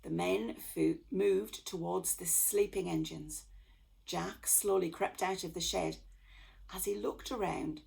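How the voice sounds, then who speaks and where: A woman storyteller reading a children's bedtime story aloud in an expressive manner. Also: fairly low pitch, slow pace, vocal fry, a little hiss or hum. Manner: expressive, with acted dialogue.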